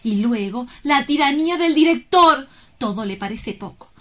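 Romantic young newswoman, acted by a woman: high voice, excitable, gushing, dramatic stage-comedy delivery. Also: normal speed. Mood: pleading. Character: excitable, romantic young female reporter, adoring girlfriend. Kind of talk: theatrical stage-comedy acting.

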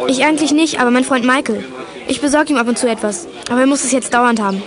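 A woman's voice: sits high, quick pace, music in the background, natural voice. An actress playing a plucky teenage girl detective in a 1980s youth radio play, self-possessed and pert. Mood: cool, guarded.

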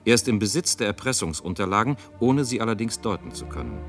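A man with a low voice narrates a radio drama from a script. He sets out the story as a recap, with faint music underneath. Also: sing-song, fast.

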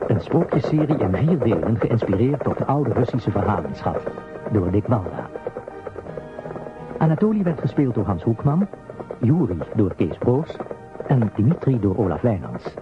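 A male radio announcer with a lively, varied delivery reads scripted credits. Music plays behind him and the sound is thin and narrow, like a phone line. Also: lowish voice, normal speed. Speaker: announcer reading end credits.

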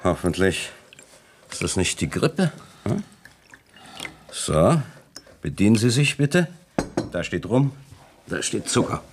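Deep-voiced, courteous village notary. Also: male voice, sing-song, slow, pauses often, slight background noise, full character voice. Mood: polite, guarded. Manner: polite, formal, lawyerly wording. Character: courteous, talkative village notary, discreet yet gossipy. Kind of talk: German radio play acting.

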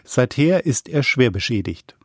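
A male radio reporter reading a scripted portrait narration in a composed, even manner. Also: mid-range voice, measured pace.

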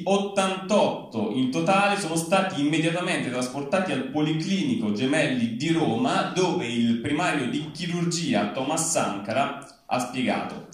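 A man plays newsreader for a satirical bulletin in a very reverberant space, heard from some distance. His voice sits a little high, and he delivers headlines with emphatic anchor-style phrasing, dropping at the punchlines. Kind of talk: mock TV news bulletin read live. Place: large echoey venue at a live reading event. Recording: very echoey hall, distant, faint noise.

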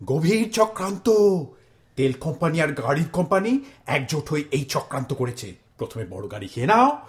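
Actor as a wildly expressive, hot-headed middle-aged Bengali husband. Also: male voice, fairly high pitch, brisk. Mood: indignant ranting. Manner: excitable, exclamatory, grumbling, switches into English phrases. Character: hot-headed exasperated Bengali immigrant husband, melodramatic and stubborn. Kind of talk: audio stage-style comedy drama acting.

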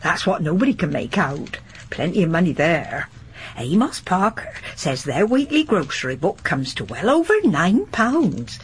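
A chatty, folksy village landlady, gossiping in a radio drama. Her voice sits a little low for a woman and swings freely up and down. There is a faint hiss on the recording. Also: sing-song, moderate pace. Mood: gossiping, knowing. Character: gossipy, garrulous older village landlady and charwoman. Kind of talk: broadcast radio drama acting.

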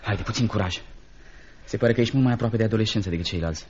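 An actor playing a compassionate young assistant teacher in a radio drama, speaking earnestly and gently with theatrical diction. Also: male voice, on the low side, natural voice. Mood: gentle, consoling. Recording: dull, noisy old radio recording.

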